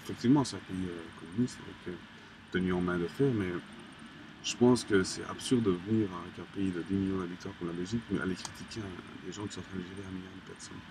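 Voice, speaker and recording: A young man from Colombia, an interviewee, talking casually in halting phrases full of restarts and fillers. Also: low-pitched, steady tone. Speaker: graffiti artist, interviewee. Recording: distant mic with background noise.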